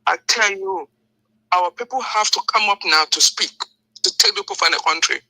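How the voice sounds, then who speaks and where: A woman's voice: medium pitch, normal speed, sharp tone, no room sound, poor audio. An older woman from Liberia who grew up in Ghana, giving her earnest opinion as a phone-in caller. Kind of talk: earnest opinion on a call-in show.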